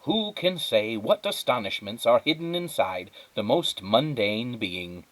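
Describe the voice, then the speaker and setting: A man reading a children's story aloud as a teacher, in expressive narration. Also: mid-range voice, unhurried, low-quality recording.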